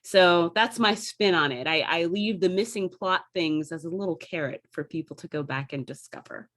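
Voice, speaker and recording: A woman's voice: on the low side, moderate pace, a bit bright. A woman speaking in an enthusiastic, conversational way as a guest theatre artist in a casual panel discussion.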